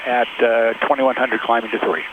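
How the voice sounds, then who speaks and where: A man speaking as a private pilot, making procedural radio calls with hesitant pauses over an aviation headset. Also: mid-range voice, steady tone. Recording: phone-like noisy cockpit radio.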